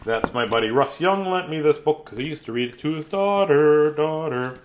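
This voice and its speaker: A man's voice: fairly high pitch, expressive, moderate pace, dull audio, slight background noise. A man chatting casually as host of a bedtime story reading, playful and self-deprecating, with joking asides.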